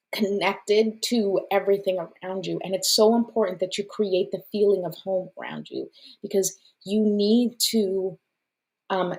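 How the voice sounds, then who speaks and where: A woman's voice: medium pitch, darkish tone, low-quality recording. A woman whose first language was Portuguese, hosting a live stream and reading oracle cards in an effusive, chatty way with many fillers and small laughs. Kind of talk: casual live-stream chat.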